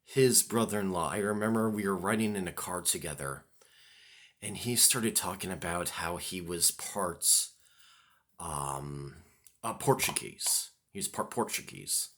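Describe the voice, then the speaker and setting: A man's voice: low-pitched, unhurried, a bit bright. A man, talking to the camera in a loose, rambling monologue, full of ums and restarts. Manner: hesitant, many ums and restarts. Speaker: vlogger.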